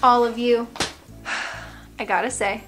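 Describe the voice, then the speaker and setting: An American woman, around her thirties, giving casual reaction commentary as a vlog host with playful sarcasm and animated asides. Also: fairly high pitch, slow pace, soft background music. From United States.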